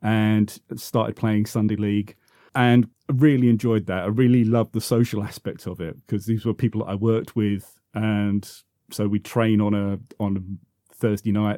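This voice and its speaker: Man, fifties, London accent, lowish mellow voice, dry close sound, casual reminiscing, rambling and self-correcting. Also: flat delivery, moderate pace, no echo. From South London, England. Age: 50s. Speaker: interview guest, football podcaster.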